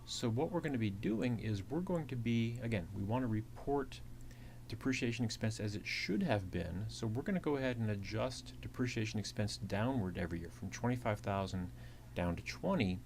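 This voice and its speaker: Male instructor, fairly low voice, methodical slide-lecture narration, slight hum on the recording. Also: lively intonation, moderate pace, a little mellow. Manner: methodical, repeats points. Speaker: accounting instructor. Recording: clearly audible hiss and hum.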